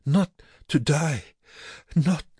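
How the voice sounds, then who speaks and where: A man voicing a weak, sick camp prisoner who mumbles haltingly and pleads, in an audiobook. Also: on the high side, husky, dry recording, clean studio sound, voice pushed up, heavily acted. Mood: pleading.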